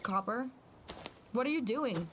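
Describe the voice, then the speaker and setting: A woman's voice: a little high, lively intonation, measured pace, a bit bright, slight background noise. A woman playing a stressed, defiant driver in an audio drama, speaking bluntly and snappily in a naturalistic style. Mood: puzzled.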